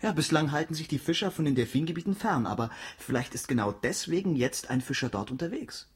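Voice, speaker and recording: An actor playing a cocky, earnest young marine researcher in a German radio drama. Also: male voice, a little high, lively intonation, fast, breathy edge. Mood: eager. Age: young adult.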